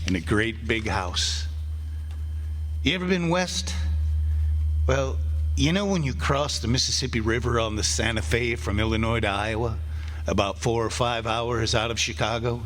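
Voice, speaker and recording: A man's voice: mid-range voice, moderate pace, a bit bright, close to an everyday voice. A man playing a condemned prisoner in a radio drama, wry and resigned, talking in a folksy, conversational way to the listener. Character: condemned male prisoner narrating his last night, wry, resigned. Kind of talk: radio drama first-person storytelling.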